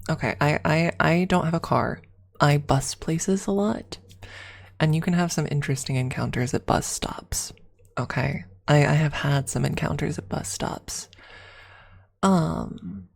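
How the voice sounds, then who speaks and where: A woman hosting an ASMR livestream, chatting casually to viewers in a soft-spoken, intimate, rambling way. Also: sits low, creaky.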